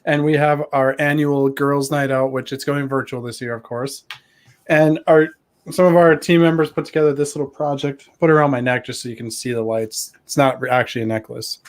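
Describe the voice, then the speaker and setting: A man's voice: mid-range voice, steady tone, a little fast. Friendly man giving a casual demo. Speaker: guest demonstrating a project. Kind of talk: casual show-and-tell demo.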